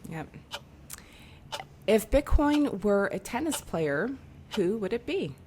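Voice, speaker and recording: A woman's voice: mid-range voice, sing-song, slow, darkish tone, slight background noise. A woman hosting a casual, playful question-and-answer interview. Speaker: interview host.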